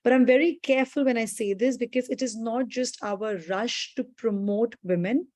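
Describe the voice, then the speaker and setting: A woman's voice: fairly high pitch, moderate pace, darkish tone, no echo. A woman, an arbitration registrar, explaining her points earnestly and with careful wording in a panel discussion.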